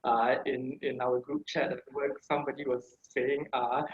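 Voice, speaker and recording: A man's voice: mid-range voice, flat delivery, normal speed, echoey room, highs cut off. A man with a Singaporean English accent giving an informal online lecture, chatty and full of "yeah" and "sort of, like" fillers. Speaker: guest speaker on a heritage language. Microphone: video call microphone.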